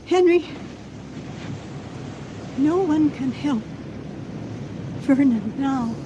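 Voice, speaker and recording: An actress playing a devoted, anxious older wife in an old-time radio drama, speaking earnestly and dramatically. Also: female voice, high-pitched, expressive, drawn-out words, dull audio, background noise, exaggerated voice. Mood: anxious, tender.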